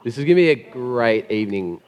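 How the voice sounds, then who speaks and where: A man preaching a sermon with a gravelly, hoarse voice after being sick, chatty and joking. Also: mid-range voice, lively intonation, fairly quick. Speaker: pastor. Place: church hall. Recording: long hall reverb.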